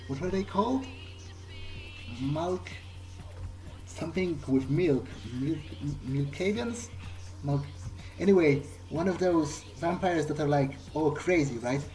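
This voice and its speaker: A man playing a bewildered, newly turned vampire in a video game, musing earnestly to himself in halting, hesitant phrases. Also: fairly high pitch, warm tone, poor audio. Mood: pensive, troubled. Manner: halting, hesitant, searching for words. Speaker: video game player speaking in character. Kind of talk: in-character thinking aloud during a playthrough.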